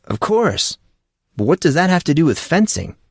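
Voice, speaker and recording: A man's voice: on the high side, sing-song, normal speed, gravelly, breathy edge, dry recording, clean studio sound, voice pushed up, heavily acted. A man voicing a puzzled, guarded teenage boy who keeps asking questions and steers away from topics. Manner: questioning, evasive.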